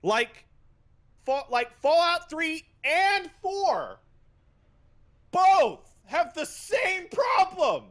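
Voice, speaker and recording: High-voiced man, animated and unhurried. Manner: blunt, profane, emphatic. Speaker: podcast co-host. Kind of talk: casual opinionated chat about video games.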